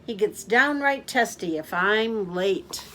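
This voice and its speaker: A woman voicing a chatty male yak taxi driver who rambles and complains without pause. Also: animated, sharp tone. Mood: chattering. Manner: rambling, complaining, fast and high.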